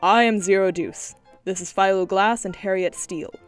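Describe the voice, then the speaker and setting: A woman's voice: medium pitch, fairly even intonation, normal speed, clear, resonant tone, soft background music, lightly acted. A woman voicing a male robot detective who speaks in stiff, formal, mechanical phrases. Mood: flat.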